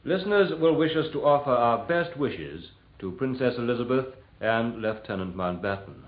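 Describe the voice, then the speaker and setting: A British man reading the news formally and in measured fashion, on a vintage 1940s radio recording. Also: mid-range voice, lively intonation. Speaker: radio newsreader. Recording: echoey, narrow-band vintage radio recording.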